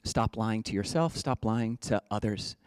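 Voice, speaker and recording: A man's voice: lowish voice, measured pace, darkish tone, smooth, no echo, studio quality. A man from the United States, a Buddhist monk giving a casual, playful dharma talk. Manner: playful, chatty, breaks into joking slang voices.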